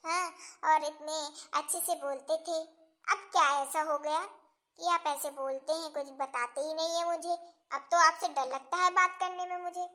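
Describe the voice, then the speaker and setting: Lady playing devoted indian housewife in a home skit, super high girlish pitch, bright sharp voice, deferential. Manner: pleading, deferential.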